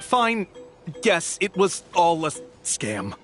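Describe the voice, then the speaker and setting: A man's voice: high voice, sing-song, slow, over music, exaggerated voice. A man playing a slippery scamming guide in a fantasy game, glib and evasive. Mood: grudging. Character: smug, slippery male tourist-scamming guide.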